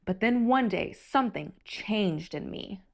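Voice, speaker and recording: Animated woman reading a children's story. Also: medium pitch, unhurried. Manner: animated, theatrical emphasis for young listeners. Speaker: picture-book read-aloud host. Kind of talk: expressive children's story read-aloud.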